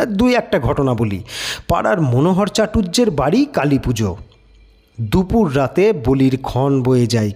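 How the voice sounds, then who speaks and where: A man narrating a scripted literary story as an audiobook, with expressive storytelling delivery. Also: on the high side, slightly rough. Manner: expressive storytelling, acts out characters' lines.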